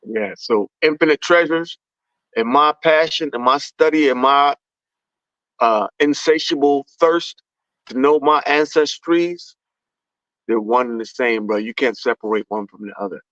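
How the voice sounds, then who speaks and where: A man's voice: mid-range voice, a little slow, fairly bright tone, no room sound. Fervent man on a dull, dry line. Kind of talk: impassioned conversational talk.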